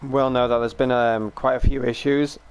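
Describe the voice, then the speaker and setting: A young man talks loosely to his live stream audience, wandering from one gripe to the next. His throat is still healing, so the voice catches and cracks now and then, yet its tone stays smooth and resonant. The pitch sits at an ordinary middle height. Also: flat delivery, moderate pace. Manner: rambling, chatty, swears and grumbles. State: recovering sore throat, voice breaking. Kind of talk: casual live stream chat while gaming.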